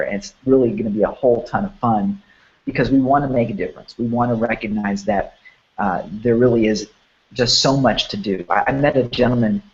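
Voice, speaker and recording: Hoarse, sniffly man hosting with rambling enthusiasm. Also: medium pitch, muffled recording. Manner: rambling, enthusiastic, many restarts. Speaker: host. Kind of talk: promotional webinar chat.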